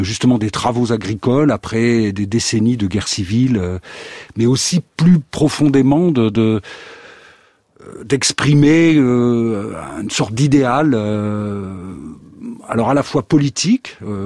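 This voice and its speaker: A man explaining a classical text in an interview, halting and pausing often as he builds his points. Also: mid-range voice, mellow, husky, no echo, clean studio sound. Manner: halting, with many pauses and fillers.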